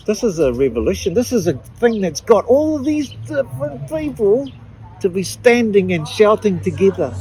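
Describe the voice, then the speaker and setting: A middle-aged woman with a New Zealand accent, giving an emotional personal testimony in an earnest, halting way with frequent restarts. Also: fairly low pitch, a little mellow, poor audio. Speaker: activist interviewee.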